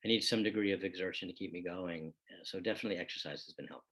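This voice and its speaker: A man playing an earnest, self-disciplined person in documentary theater, speaking in a measured, reflective way. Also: deep voice, fairly bright tone.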